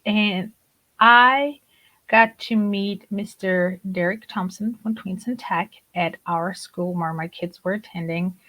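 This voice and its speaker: A woman's voice: medium pitch, slow, bright, forceful tone, low-quality recording. A woman in her forties, raised in Germany with Turkish as her first language, chatting as a podcast guest and laughing often. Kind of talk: conversational interview.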